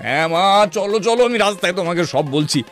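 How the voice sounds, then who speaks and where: A man's voice: high voice, expressive, quick pace, pitched above the performer's own voice. A man voicing a desperate, wounded boy in a cartoon story, pleading urgently in a wailing way. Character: desperate snakebitten boy pleading with his stepmother.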